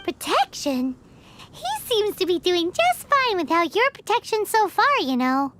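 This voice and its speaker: A woman voicing a tiny, bubbly female fairy companion in a video game, cheeky and chirpy in a high childlike voice. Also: big pitch swings, unhurried, noisy background, heavily acted. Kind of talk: video game cutscene dialogue.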